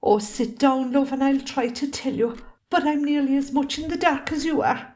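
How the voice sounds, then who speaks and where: A woman's voice: high voice, moderate pace, a little mellow, close to an everyday voice. A woman with an Irish accent playing a long-suffering, fiery middle-aged wife and mother in an amateur drama. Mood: fretful, weary. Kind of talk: amateur stage drama acting.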